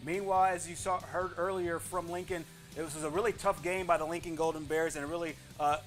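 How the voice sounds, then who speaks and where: A man hosts a football score roundup with an emphatic announcer delivery. His voice sits a little high and moves very quickly, with faint music behind it. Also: steady tone. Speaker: sports show host.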